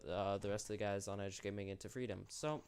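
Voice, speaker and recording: A man's voice: lowish voice, fairly even intonation, fast, darkish tone, clear, resonant tone. A young man hosting an unscripted commentary, rambling and correcting himself, sick and losing his voice. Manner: rambling, self-correcting, candid. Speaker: YouTube channel host.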